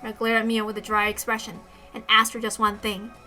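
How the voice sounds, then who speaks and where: A woman narrates a story aloud in a high voice. Her tone is bright and clear-cut, with music playing behind her. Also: monotone, fairly quick, rough recording. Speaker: reader of a visual novel story. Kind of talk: expressive story read-aloud, first-person narration.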